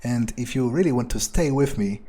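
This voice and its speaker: A man's voice: medium pitch, brisk, dark tone. A man improvising an in-character gameplay monologue, rambling and hesitant with dry cynicism. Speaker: gamer playing a vampire character.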